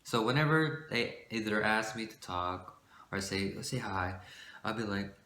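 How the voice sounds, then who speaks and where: A shy young man rambling casually about his light voice, with many fillers, sometimes pushing it deeper. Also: unhurried, sharp tone. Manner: shy, rambling, full of fillers and self-corrections; shifts between a light voice and a forced deeper one. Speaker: vlogger. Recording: echoey room.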